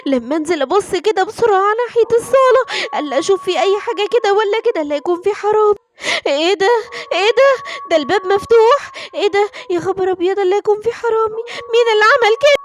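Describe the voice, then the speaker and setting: A woman's voice: extremely high pitch, darkish tone. A woman voicing an anxious, nosy cartoon mother, speaking in breathless, exclamatory questions. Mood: alarmed. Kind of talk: children's story role-play.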